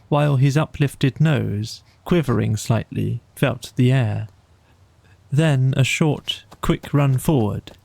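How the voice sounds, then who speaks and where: Slow, creaky male bedtime storyteller. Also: medium pitch, darkish tone. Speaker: audiobook narrator. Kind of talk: scripted bedtime storybook narration.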